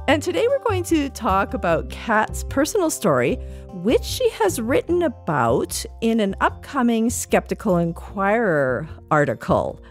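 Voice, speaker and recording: A woman hosting a podcast segment, interviewing in a conversational, prepared style. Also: medium pitch. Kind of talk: conversational interview with read-out passages.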